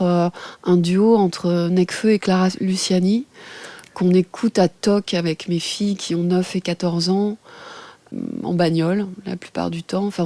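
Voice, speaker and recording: A woman in her forties, a radio journalist guest, answering thoughtfully with many pauses and fillers. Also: lowish voice, no room sound. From France. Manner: thoughtful, hesitant with many fillers, warm laughs. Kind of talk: casual interview answers.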